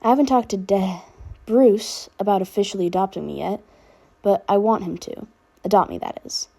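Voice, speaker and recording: Sardonic, affectionate big-brother teen boy, female reader, casual rambling delivery, soft dark tone, slightly raspy. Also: mid-range voice, normal speed. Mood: earnest, teasing. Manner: casual, rambling teen talk with filler words. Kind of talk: fan fiction audiobook character dialogue.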